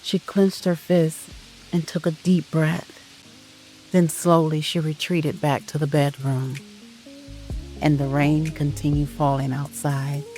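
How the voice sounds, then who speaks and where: A narrator reading a scripted audio drama in a solemn, sentimental, deliberate way. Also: mid-range voice, little pitch movement, a little mellow, faint music behind, low-quality recording.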